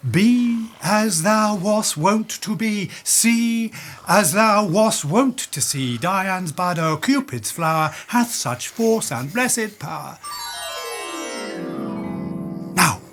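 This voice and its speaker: A man playing a stately, scheming fairy king, speaking deliberate verse in a Shakespearean radio play. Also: sits high, lively intonation, fairly bright tone, a little hiss or hum, exaggerated voice. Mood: solemn, tender.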